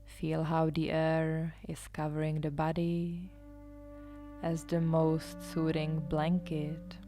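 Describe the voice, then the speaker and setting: A woman guides a yoga meditation in a low, mellow voice. Her delivery is very unhurried and hushed, often softening toward a whisper. Instrumental music plays behind her. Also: completely flat delivery. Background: soft live instrumental music.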